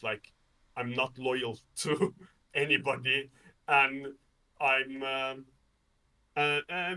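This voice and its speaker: A man's voice: medium pitch, sharp tone, recorded from a distance, rough recording. A man from Norway chatting casually as an interview guest, telling rambling anecdotes in a self-deprecating way. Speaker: interview guest, game developer. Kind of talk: casual conversation.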